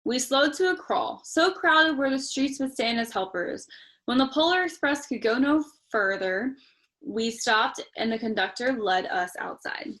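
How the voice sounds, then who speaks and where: A woman with a slightly high voice reads a picture book to young children. She is expressive and speaks to them directly, mixing narration with chatty little asides. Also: unhurried. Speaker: storytime reader.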